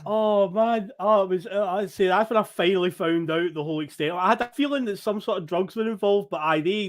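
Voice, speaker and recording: A man with a Scottish accent, a podcast guest telling a travel story in casual chat, animated and swearing freely. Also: fairly high pitch.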